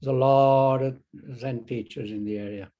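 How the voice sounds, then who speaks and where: An older man from Chile with a Chilean Spanish accent, a Zen teacher talking as an interview guest, halting with many restarts and fillers. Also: mid-range voice, steady tone, mellow, dull audio. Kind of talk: casual interview conversation.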